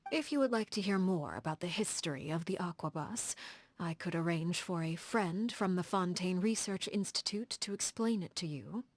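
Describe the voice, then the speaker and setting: A woman playing a coolly elegant, formal leader of agents in a video game, speaking in a composed, measured and refined way. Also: on the low side, close to an everyday voice. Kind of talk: scripted video game character dialogue.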